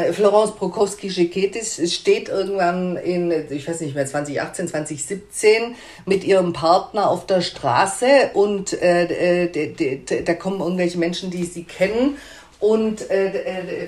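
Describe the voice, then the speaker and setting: A woman's voice: mid-range voice, measured pace, darkish tone, roomy sound. A woman publisher answering interview questions in a hesitant, conversational way with frequent fillers and restarts.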